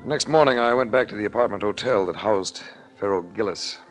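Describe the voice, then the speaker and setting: Low-voiced hard-boiled private eye played by a man. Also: brisk, music in the background, close to an everyday voice. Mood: wry narration. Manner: wry, terse, deadpan. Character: cool, hard-boiled private investigator narrating his case. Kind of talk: 1940s radio detective acting and narration. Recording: noisy vintage 1940s radio recording.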